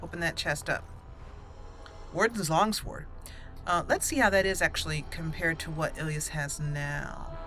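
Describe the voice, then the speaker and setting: A woman talks her way through a fantasy game in a chatty, playful way, with a bright, forceful voice. Faint game music runs underneath her casual commentary. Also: low-pitched, a little slow. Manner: chatty, playful, laughs at her own jokes. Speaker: gaming channel host.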